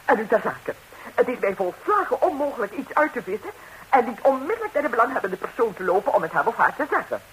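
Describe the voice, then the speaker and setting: Flustered female busybody, stammering, very lively intonation, hissy 1960s radio comedy. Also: fairly high pitch, fast, rough recording. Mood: brazen. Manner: flustered, stammering, repeating herself. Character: nervous, nosy, talkative married lady, indiscreet busybody visitor.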